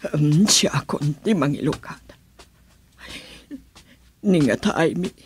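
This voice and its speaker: A woman's voice: low voice, takes it slowly, a little mellow, slight background noise. A woman with a Filipino accent playing a loving, long-suffering mother in an Ilocano radio drama, emotional in her delivery. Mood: puzzled, everyday.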